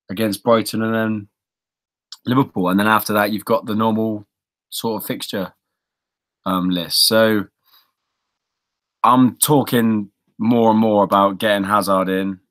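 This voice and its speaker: A man's voice: on the low side, fairly bright tone. A man chatting casually as a podcast co-host, rambling and thinking aloud as he discusses fantasy football.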